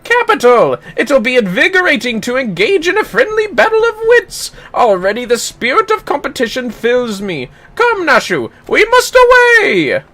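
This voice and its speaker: Heroic gentleman inspector, high-pitched, swooping, grandiloquent, theatrical game character. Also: huge pitch swings, moderate pace, few pauses, exaggerated voice. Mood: excited, indignant. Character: pompous, excitable, heroic gentleman inspector, adult male. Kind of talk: playful video game character voice.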